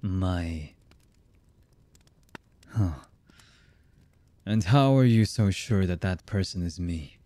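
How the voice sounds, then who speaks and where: Guy acting as a cold anime villain boyfriend type for asmr roleplay, husky, menacing, super slow talking, really dry sound. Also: sits low, mellow, dry recording. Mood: quiet menace. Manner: slow, menacing, deliberate with long pauses. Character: heartless young male anime villain, menacing, cold, commanding. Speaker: voice actor.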